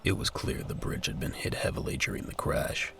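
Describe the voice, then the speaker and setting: A man's voice: very high-pitched, wildly swooping pitch, fairly quick. A man reading scripted narration for a military audio drama as its narrator. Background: music.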